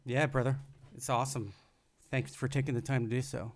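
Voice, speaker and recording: A man's voice: mid-range voice, level tone, a little fast, slight background noise. A man hosting a podcast, welcoming a guest in an upbeat, friendly way. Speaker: podcast host. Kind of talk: casual podcast interview.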